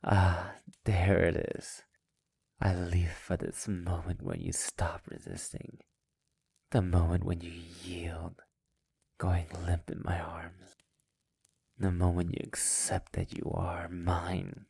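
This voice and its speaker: A man playing a sadistic, possessive immortal vampire master in an intimate ASMR roleplay, taunting theatrically in a breathy, gravelly voice that often nears a whisper. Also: deep voice, completely flat delivery, slow, a little mellow, no echo, full character voice. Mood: hungry, possessive.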